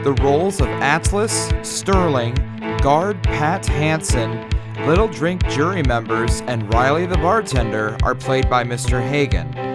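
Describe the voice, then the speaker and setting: A man, one of the show's creators, reading the credits in a clear, steady way. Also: medium pitch. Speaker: show creator and narrator.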